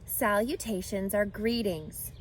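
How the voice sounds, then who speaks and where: A woman's voice: mid-range voice, slow pace, slight background noise, lightly acted. A woman voicing a refined, kindly female spider from a children's storybook, composed, articulate and self-assured. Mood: composed, friendly. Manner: composed, articulate, gently matter-of-fact. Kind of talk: children's storybook read-aloud.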